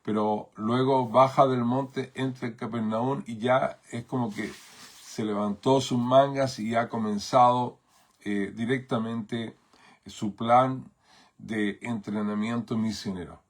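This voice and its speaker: A man's voice: mid-range voice, fairly even intonation, takes it slowly. A man from Chile with a Chilean Spanish accent, preaching a sermon as pastor in a thoughtful way, with many fillers and tag questions.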